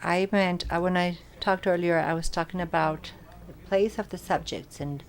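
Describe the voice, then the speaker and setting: A woman with a fairly low voice renders speakers live for an academic audience. She delivers their words in short bursts with pauses and quick self-corrections, keeping close behind each speaker. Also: fairly even intonation. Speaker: simultaneous interpreter. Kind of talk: live interpretation of audience questions at an academic symposium.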